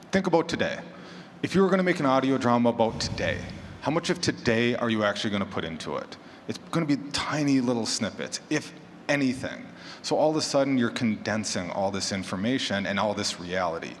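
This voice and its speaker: Guy chatting on a panel, lowish voice, big echoey room with some noise. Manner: conversational, illustrates with hypothetical quotes and examples. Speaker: panelist, horror fiction podcaster. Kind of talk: casual conference panel talk. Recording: echoey hall, clear background noise.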